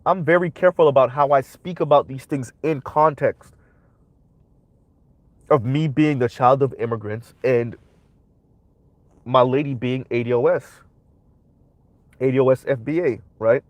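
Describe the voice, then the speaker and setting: A man's voice: medium pitch, measured pace, pauses often, no echo, lo-fi sound. A young man with a cold and a stuffy voice, hosting a livestream of emphatic social commentary full of tag questions. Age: young adult. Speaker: commentary channel host.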